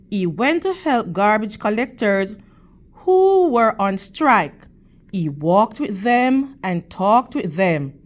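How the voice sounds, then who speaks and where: A woman reading a picture book aloud to children like a teacher, in deliberate, measured phrases. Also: medium pitch. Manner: deliberate, measured phrasing with questions and praise addressed to young listeners.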